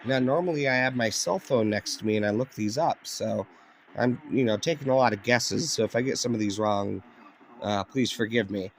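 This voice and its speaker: A man's voice: lowish voice, moderate pace. An American man giving casual, off-the-cuff commentary as a radio hobbyist, musing and thinking aloud. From United States. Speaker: shortwave radio hobbyist narrating a video. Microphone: handheld cell phone. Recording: noisy phone recording with radio static. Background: shortwave radio static and broadcasts.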